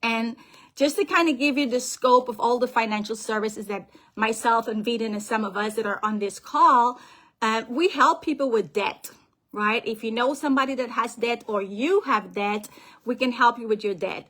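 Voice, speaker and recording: A woman with a somewhat high voice gives a motivational talk on family finance. She is a financial planner and author who speaks over a call. She talks in a lively way and keeps checking in with her listeners. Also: normal speed. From Guyana.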